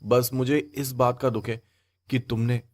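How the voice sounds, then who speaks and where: A man playing a hurt, dutiful young husband in an audio story, speaking in a measured, reproachful way. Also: medium pitch, fairly even intonation, natural voice. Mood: hurt, restrained. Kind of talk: acted Hindi audio story dialogue.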